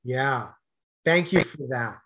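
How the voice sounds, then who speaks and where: A man's voice: medium pitch, slow, a bit bright. A man hosting a podcast, asking questions in an earnest, hesitant way with many fillers. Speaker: podcast host. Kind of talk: casual interview questions.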